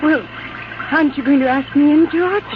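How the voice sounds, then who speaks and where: A woman's voice: high-pitched, moderate pace, natural voice. An actress playing a devoted, desperate young woman, imploring and melodramatic in 1940s radio style. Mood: pleading, desperate. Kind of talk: 1940s radio drama acting. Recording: muffled, noisy old radio broadcast.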